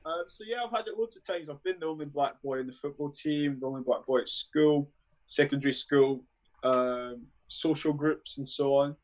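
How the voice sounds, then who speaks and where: Blunt London man, fairly high voice. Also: normal speed, rough recording. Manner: blunt, dismissive, emphatic. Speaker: guest panelist. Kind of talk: casual panel discussion.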